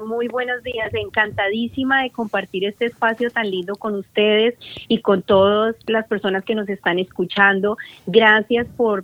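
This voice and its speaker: A woman with a Colombian accent speaks as a podcast guest. She tells personal stories conversationally, with effusive, grateful wording. Her voice comes through a narrow, tinny line with no room echo. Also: medium pitch. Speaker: podcast guest, leadership coach.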